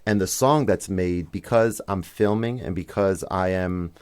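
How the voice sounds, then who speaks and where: A man's voice: low voice, measured pace, a little mellow, smooth. A man hosting a podcast interview, talking with enthusiasm and affirming his guest often. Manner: enthusiastic, affirming with frequent mm-hmms. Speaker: host.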